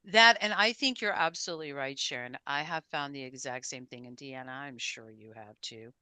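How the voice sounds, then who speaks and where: Low, bright-voiced woman co-host, direct. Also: moderate pace, smooth, no room sound. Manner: direct, animated, loud voice. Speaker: podcast co-host. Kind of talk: casual podcast conversation.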